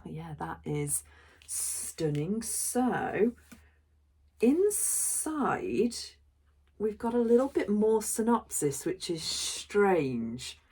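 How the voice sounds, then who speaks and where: Slow-talking woman with a husky voice. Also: medium pitch, very animated, warm tone. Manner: gushing, thinking aloud in short pauses. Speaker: book vlogger. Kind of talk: casual unboxing commentary.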